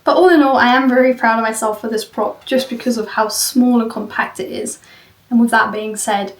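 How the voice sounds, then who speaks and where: A woman's voice: fairly high pitch, fairly even intonation, fairly quick. A woman giving an informal walkthrough of something she built, rambling in a candid, self-deprecating way. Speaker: maker presenting a cosplay prop she built. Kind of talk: informal video walkthrough and review of a build. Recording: roomy echo, far from the mic.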